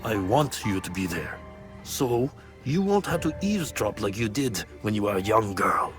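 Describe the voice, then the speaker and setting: An actor playing a gentle, encouraging father in a video game drama. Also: fairly low pitch, very animated, moderate pace, over music. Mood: fond.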